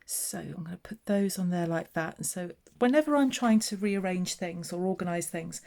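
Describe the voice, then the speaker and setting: Woman, low voice, mellow tone, chatty, talks through her card making. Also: animated, normal speed, gravelly. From southeast England. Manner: chatty, narrating her own hands-on work. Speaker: papercraft demonstrator. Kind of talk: casual craft tutorial chat.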